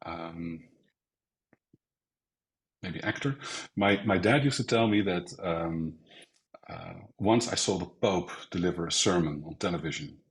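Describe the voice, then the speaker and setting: A man's voice: deep voice, unhurried, pauses often. A middle-aged man from the Netherlands, a sales coach telling stories as an interview guest, often acting out quotes. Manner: reflective, storytelling with acted quotes. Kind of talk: casual interview talk.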